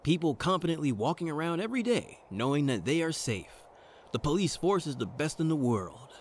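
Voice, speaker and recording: An actor playing a brooding, earnest and wry private detective, narrating a noir audio drama. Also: male voice, fairly high pitch, big pitch swings, brisk, a little hiss or hum. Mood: earnest, hopeful.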